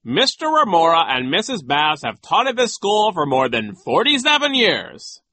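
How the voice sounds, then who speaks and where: A man's voice: sits high, huge pitch swings, measured pace, sharp tone, no room sound, pitched above the performer's own voice, full character voice. A man voicing a vain, pompous and nasty male school vice principal in a sneering, mocking manner for an audiobook. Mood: scornful. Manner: sneering, self-important, mocking.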